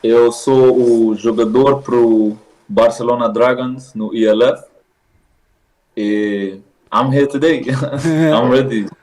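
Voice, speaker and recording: A man's voice: medium pitch, slow pace, low-quality recording. A man in his twenties from Belgium, a football player guest, talking casually with many fillers. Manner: relaxed, lots of fillers like "like" and "uh". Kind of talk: casual interview.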